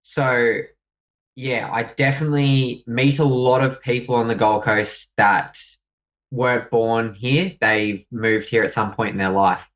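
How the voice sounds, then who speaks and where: A young Australian man tells his own story as a guest, reflective and hesitant, with fillers and restarts. His voice sits at an ordinary middle pitch. He sounds a little away from the mic. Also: steady tone, a little slow, a bit bright, distant mic, poor audio. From New South Wales, Australia. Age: young adult. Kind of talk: personal story in conversation.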